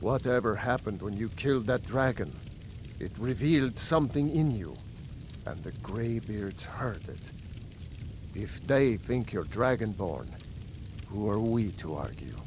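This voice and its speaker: A man's voice: fairly low pitch, lively intonation, a little slow, noisy background. A man playing a dignified city ruler in a fantasy game, speaking in a formal, reflective, stately manner. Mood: firm, solemn. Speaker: voice actor in a fantasy video game.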